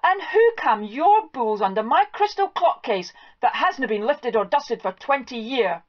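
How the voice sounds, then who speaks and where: A woman plays a fretful old farm wife from the Scottish countryside, her voice pushed high and shrill as she scolds and frets in broad Scots. The storybook reading comes through a dull, lo-fi recording. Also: lively intonation, a little slow. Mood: aghast.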